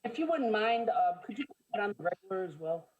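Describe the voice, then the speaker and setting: Soft-spoken woman council member. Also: medium pitch, very animated, quick pace, narrow, tinny audio. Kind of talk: council meeting remarks.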